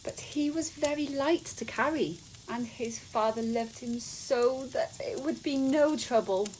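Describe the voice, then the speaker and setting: An actress playing a gentle, careworn, grieving mother in an audio drama. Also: sits high, unhurried, slight background noise, poor audio. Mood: grieving, tender.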